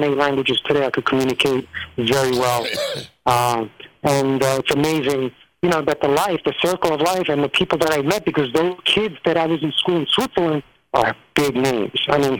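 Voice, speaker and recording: A man's voice: fairly high pitch, a bit bright, low-quality recording. Rambling New man. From New York. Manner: rambling, many fillers and restarts. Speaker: guest. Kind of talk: casual storytelling interview.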